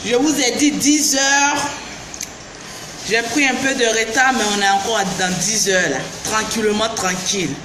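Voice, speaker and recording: Ivorian woman vlogger with the flu, high voice, loud shouts and greetings, echoey room, noisy background. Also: measured pace. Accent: Ivorian French. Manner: greets viewers by name, animated, bursts into shouts. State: has the flu. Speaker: beauty vlogger live-streaming. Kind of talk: casual live stream chat with viewers.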